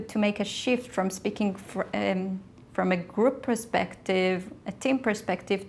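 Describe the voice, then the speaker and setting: A woman's voice: medium pitch, gravelly, slight background noise. A woman interviewed about adapting her resume, speaking hesitantly with many restarts and fillers. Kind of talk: personal interview.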